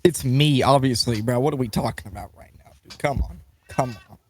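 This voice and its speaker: A man's voice: medium pitch, fairly quick, darkish tone, slight background noise. A man co-hosting a podcast, casually reacting with friends in slangy talk. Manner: slangy, addresses listeners as bro and dude. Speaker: podcast co-host.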